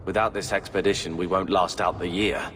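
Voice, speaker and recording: A man's voice: low-pitched, fairly even intonation, fairly quick, a bit bright, a little hiss or hum. An actor with a British accent playing a sullen, resentful young male warrior in a video game, sardonic and insistent. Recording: long reverberant echo.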